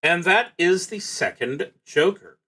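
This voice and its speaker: A man streaming a solo tabletop roleplaying game, narrating his play and thinking aloud with hesitant pauses. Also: high voice, animated, sharp tone, breathy edge.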